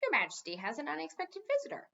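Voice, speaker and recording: Woman as a prim, high-pitched elf servant. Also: huge pitch swings, fast, creaky, pushed and bright, muffled recording, exaggerated voice. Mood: stiff, formal. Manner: deferential, stiffly proper. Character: formal, fussy male elf servant to a king.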